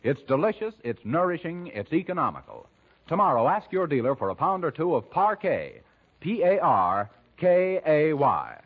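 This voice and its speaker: An American man with a husky voice, announcing in the emphatic, scripted sales style of 1940s radio. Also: on the high side, big pitch swings, normal speed. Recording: dull 1940s radio recording.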